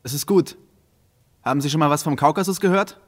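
An actor playing a brisk, officious army recruiting officer in a radio play, clipped, formal and pompous. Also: male voice, fairly high pitch, natural voice. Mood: brisk, official. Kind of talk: German radio play acting.

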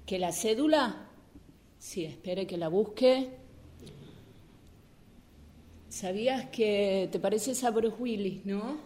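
A woman's voice: medium pitch, slow pace, darkish tone, natural voice. A woman acting out a defiant, exasperated driver in an improvised radio drama exercise, with a Rioplatense Spanish accent. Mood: calm, distracted.

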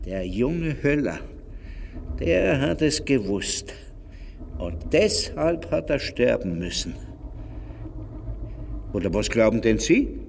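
A man's voice: medium pitch, sing-song, takes it slowly, vocal fry, pauses often, a little mellow, full character voice. An actor playing an agitated, cryptic old man in a radio drama, rambling and insinuating, in a reverberant space. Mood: haunted, insistent. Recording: long reverb, background noise.

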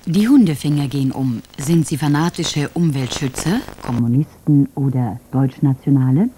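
A woman with a husky, dark voice making a scripted, dramatic title announcement for a radio play. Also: extremely low pitch, normal speed, a little hiss or hum.

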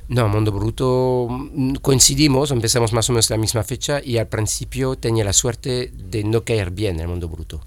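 A man in his fifties with a French accent, a guest chatting casually in an interview, often pausing and restarting. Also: medium pitch, no room sound. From France. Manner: halting, many restarts and fillers. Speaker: guest illustrator.

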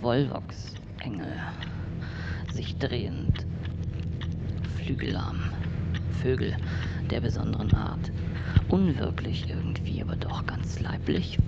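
A man playing a brooding, deranged loner in an experimental radio-play monologue, speaking deliberately in halting fragments, often hushed and breathy, in a creaky voice. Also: mid-range voice, heavily acted. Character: brooding, morbidly deranged male loner narrating from his tower. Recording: dull, noisy lo-fi radio-play recording.

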